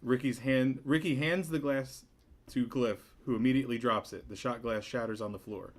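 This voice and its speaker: A man's voice: medium pitch, steady tone, normal speed. A man narrating stage directions from a comedy script at a table read.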